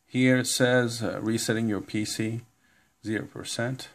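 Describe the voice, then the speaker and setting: Slow, gravelly-voiced man giving instructions. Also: mid-range voice, steady tone. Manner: halting, instructional, reads out on-screen text. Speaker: tutorial presenter. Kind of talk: informal step-by-step computer tutorial. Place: indoor room, filming a laptop screen.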